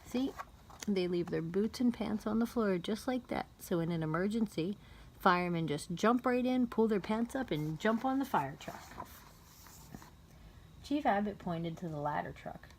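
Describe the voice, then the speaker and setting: A woman narrates a bedtime storybook at a middle pitch, with faint noise behind her. She breaks off into casual asides, explaining things simply and patiently for a small child. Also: expressive, moderate pace. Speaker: mother reading a bedtime storybook aloud.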